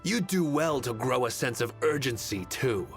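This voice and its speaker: A man's voice: mid-range voice, lively intonation, normal speed, lightly acted. A man playing a snide, condescending staff worker in a video game, talking in a curt, superior manner. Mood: dismissive, scolding. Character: snide, busy male commission worker, condescending and gossipy. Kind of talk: video game dialogue acting. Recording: game music behind the voice.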